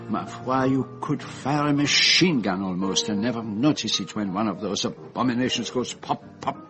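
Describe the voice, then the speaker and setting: A man's voice: medium pitch, moderate pace, close to an everyday voice. Radio drama: male actor as shrewd little Belgian sleuth, French-Belgian accent, theatrical, formal, teasing, music behind. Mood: amused, emphatic. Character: shrewd, self-assured little Belgian detective, male, courteous and pompous.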